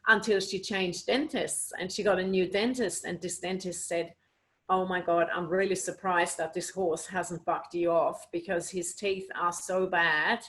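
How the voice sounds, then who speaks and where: A woman's voice: fairly low pitch, steady tone, a little slow, fairly bright tone, low-quality recording. A woman from Switzerland, a guest animal communicator, answering interview questions in an earnest, explaining way with many "you know"s, over a video call.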